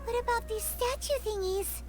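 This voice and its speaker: A woman's voice: very high-pitched, moderate pace. A woman voicing a small, childlike video game companion, curious and timid, with a hesitant way of talking. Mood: curious, uneasy. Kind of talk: scripted video game dialogue. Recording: game dialogue under loud music.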